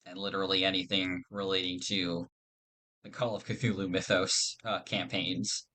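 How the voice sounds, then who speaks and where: A man's voice: sits low, flat delivery, normal speed, sharp tone. A man chatting casually as a podcast lore guest, thinking aloud in a hesitant, rambling way. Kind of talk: casual chat.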